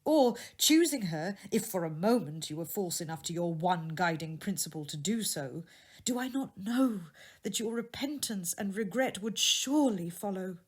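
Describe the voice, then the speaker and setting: A woman voicing a sorrowful, dignified young woman in a classic storybook tale, speaking gently and earnestly. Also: mid-range voice, animated, moderate pace, breathy edge. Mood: sorrowful, resolute. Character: sorrowful, dignified young woman releasing her changed fiancé. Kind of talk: dramatised audiobook reading of a classic tale.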